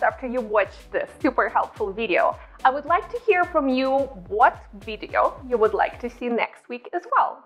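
A woman's voice: on the high side, a bit bright, husky. A woman, hosting a cooking tutorial in her home kitchen, chatty and bubbly on a lapel mic. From Ukraine. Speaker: cooking channel host. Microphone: clip-on lapel mic.

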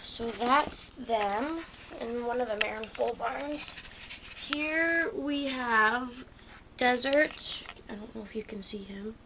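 A woman's voice: on the high side, slow, noisy background. A teenage girl giving a casual show-and-tell tour of her model horse stable, hesitant, with lots of ums and self-corrections. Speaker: toy horse barn video maker. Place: indoors at a homemade model stable. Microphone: handheld camera close to the toys.

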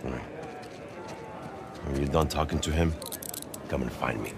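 An actor playing a stern, menacing prison guard boss in a cinematic game, curt and commanding, with noisy yard ambience. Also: male voice, rumbling low, moderate pace. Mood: curt, scheming.

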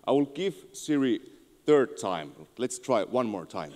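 A man in his thirties with a Finnish accent, giving an informal, somewhat halting conference presentation on a handheld microphone. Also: mid-range voice, very animated, a little mellow. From Finland. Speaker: conference speaker, tech entrepreneur. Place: on stage in a large auditorium. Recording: echoey large hall, long reverb.

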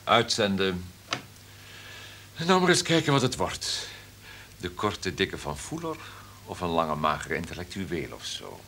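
Low-voiced, commanding radio director. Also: male voice, wildly swooping pitch, unhurried, long pauses, sharp tone, exaggerated voice. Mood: dryly assured. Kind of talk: radio drama acting. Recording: faint background noise, radio play.

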